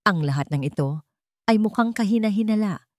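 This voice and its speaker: A woman's voice: mid-range voice, expressive, brisk, darkish tone, dry recording, clean studio sound, pitched above the performer's own voice, close to an everyday voice. A woman voicing a doubtful board member who voices suspicion and worry. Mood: suspicious. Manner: suspicious, worried.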